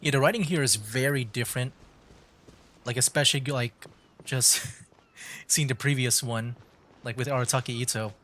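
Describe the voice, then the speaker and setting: A man's voice: medium pitch, moderate pace, long pauses, a bit bright. A man giving informal, meandering commentary on a gaming livestream. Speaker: streamer. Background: faint game music.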